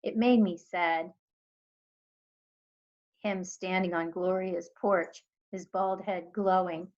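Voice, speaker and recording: A woman teacher reading a children's storybook aloud in expressive narration. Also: lowish voice, takes it slowly, muffled recording.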